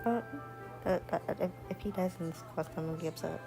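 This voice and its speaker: Woman streamer, low voice, gravelly fry, game music behind. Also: steady tone. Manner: rambling, halting, repeats words. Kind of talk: casual chat over gameplay.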